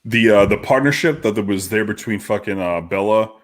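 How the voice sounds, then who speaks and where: A man co-hosting a podcast in casual chat, swearing heavily and restarting his sentences. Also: on the low side. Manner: heavy swearing, halting restarts. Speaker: podcast co-host.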